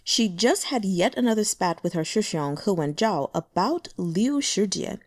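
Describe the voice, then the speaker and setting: A woman narrating a translated fantasy novel with expressive storytelling. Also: dry recording, clean studio sound. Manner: expressive storytelling, pitch moving with the scene. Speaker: audiobook narrator.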